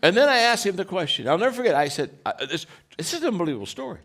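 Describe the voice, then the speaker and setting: A man with a deep voice giving a motivational talk, telling stories with many restarts and acted-out quotes. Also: very animated, racing, a bit bright. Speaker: leadership speaker.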